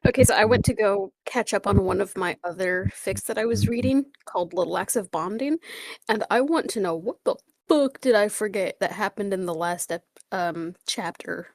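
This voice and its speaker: A woman's voice: mid-range voice, moderate pace, vocal fry, a little mellow, no room sound, rough recording. Female podcast co-host, casual chat, blunt and chatty, dry close sound. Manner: chatty, blunt, fan-culture talk.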